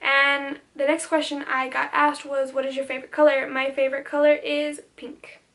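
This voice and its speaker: A woman's voice: high voice, monotone, moderate pace, a bit bright. A teenage girl whose first language was Spanish, chatting casually through a Q&A on her vlog, with lots of "like" and restarted sentences. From United States. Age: teens. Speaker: beauty vlogger.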